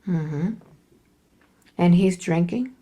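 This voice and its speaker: A woman guiding a hypnosis session as a therapist, giving measured prompts, brief questions and soft, sparse acknowledgments. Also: fairly low pitch.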